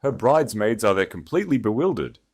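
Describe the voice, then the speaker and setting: A man's voice: lowish voice, big pitch swings, quick pace, husky, voice pushed up, exaggerated voice. Loyal, rattled lordly best man acted by a man, shaky and pressing, for a period romance audiobook. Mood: shaken, urgent. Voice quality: trembling voice. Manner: urgent, hushed, agitated. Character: anxious, loyal best man, a nervous young lord.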